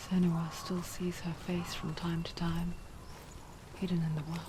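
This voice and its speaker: An actress playing an intimate inner voice, narrating softly and breathily in a confiding way for a video game. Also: female voice, lowish voice, little pitch movement, measured pace, noisy background, natural voice. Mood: hushed, wistful. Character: whispering female inner voice, hushed storyteller.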